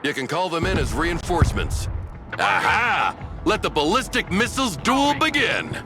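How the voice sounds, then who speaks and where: A man's voice: high voice, big pitch swings, normal speed, over loud music. A man playing a brash, confident military commander giving orders in a strategy game, with a cocky, commanding manner. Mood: aggressive, eager.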